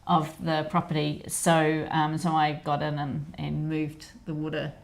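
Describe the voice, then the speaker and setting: A woman's voice: low voice, monotone, measured pace, vocal fry. A middle-aged woman co-hosting a livestream, telling everyday stories in a chatty, easygoing way. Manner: chatty storytelling, frequent laughs and backchannels. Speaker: co-host of a weekly livestream. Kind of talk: casual chat.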